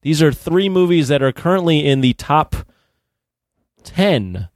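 A man's voice: a little high, lively intonation, measured pace, no echo. Man in his thirties, close dry sound. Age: 30s. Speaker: podcast co-host. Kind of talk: casual film discussion.

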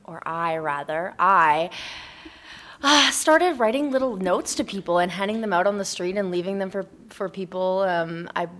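A woman's voice: mid-range voice, moderate pace, fairly bright tone. A young woman interview guest telling personal stories casually, in an informal, rambling way with lots of "like". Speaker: interview guest, founder of a volunteer project.

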